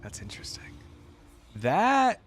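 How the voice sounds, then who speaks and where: A man's voice: sits high, wildly swooping pitch, slow pace. A man giving casual, musing reaction commentary as the host of a reaction video. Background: music from the watched video.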